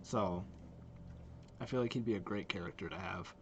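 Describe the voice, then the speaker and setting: A man's voice: low-pitched, moderate pace, slight background noise. A young man giving casual game reaction commentary, informal and exclamatory as he muses aloud. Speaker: video game reaction host.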